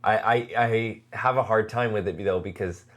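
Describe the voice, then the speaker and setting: A podcast co-host chatting casually about a series in an earnest, halting way, often repeating words while forming thoughts. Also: mid-range voice. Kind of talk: casual chat reviewing a web horror series.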